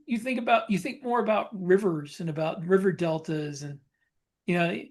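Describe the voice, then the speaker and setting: Man in halting, casual podcast talk. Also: high-pitched. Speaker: podcast co-host and science fiction author.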